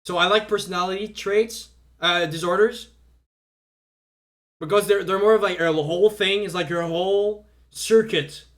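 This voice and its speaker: A young man with a notably high voice, chatting on a live stream in English, which is not his first language because he grew up speaking French. He rambles and restarts his sentences often, filling gaps with "like" as he thinks out loud. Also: fairly even intonation, moderate pace. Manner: rambling, heavy on fillers and restarts. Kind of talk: casual live-stream chat.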